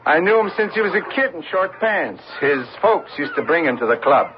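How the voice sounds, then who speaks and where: A man's voice: fairly high pitch, sing-song, brisk. An actor playing a gossipy, world-weary older boat club man, folksy and knowing, in an old-time radio drama. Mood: knowing, ominous. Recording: muffled, noisy old radio broadcast.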